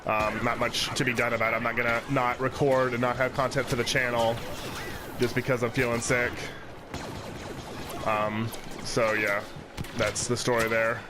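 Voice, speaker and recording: A man's voice: medium pitch, little pitch movement, normal speed, long pauses, over music. A man hosting a let's play channel, talking through gameplay in a loose, rambling way with lots of fillers and restarts, his voice congested from a cold. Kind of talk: casual gameplay commentary.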